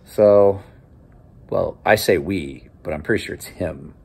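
A man's voice: low voice, measured pace, gravelly, a little mellow, breathy edge. A tired man in his forties, vlogging casually into a handheld phone from an office and then a workshop, rambling and chatty. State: exhausted. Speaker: knife channel host. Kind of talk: casual vlog to camera. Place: small cluttered office, then large workshop. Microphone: phone held at arm's length.

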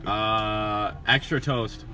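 A young American man chatting casually and deadpan from inside a car, playing the prankster at drive-thrus. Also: lowish voice, drawn-out words, faint music behind. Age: young adult. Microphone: passenger's handheld camera close by.

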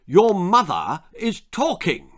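A man voicing an aristocratic father with a short temper, booming and growling orders in a storybook tale. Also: huge pitch swings, slow pace, exaggerated voice. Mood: furious. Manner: roaring, growling, commanding.

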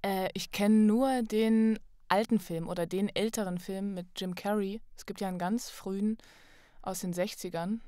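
A woman's voice: medium pitch, fairly even intonation, moderate pace, dark tone, no echo, studio quality. A young adult woman talking casually as a guest on a podcast. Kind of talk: casual chat.

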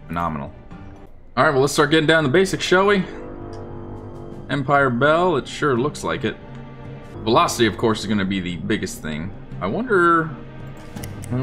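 A man giving casual, unhurried gameplay commentary, thinking aloud as he plans. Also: on the low side, a bit bright. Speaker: gaming video host.

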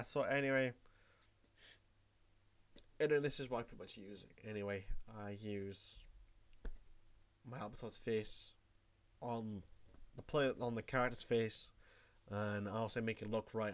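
A young man with a cold, rambling through a casual tutorial vlog from his room at home. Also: lowish voice. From Wales. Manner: rambling, repeats himself. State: has a cold. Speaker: YouTuber.

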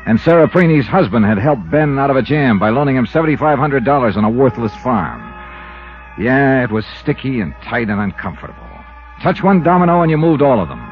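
A man's voice: medium pitch, animated, a little fast, natural voice. An American man acting as a dogged, skeptical insurance investigator in a 1950s radio drama, with a clipped, hard-boiled delivery. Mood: brisk, wry. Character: dogged, skeptical male insurance investigator narrating his case. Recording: muffled 1950s radio recording with music.

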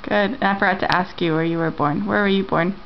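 A woman's voice: on the low side, steady tone, quick pace, creaky. Mom interviewing her kids on camera. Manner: repeats answers back, gentle questioning. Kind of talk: casual home interview. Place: family home, indoors. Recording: lo-fi home camera audio.

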